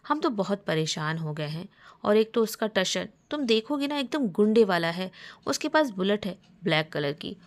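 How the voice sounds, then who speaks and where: A woman voicing a troubled young college student in a romance story, polite but quick to indignation. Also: medium pitch, very animated, natural voice. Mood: indignant.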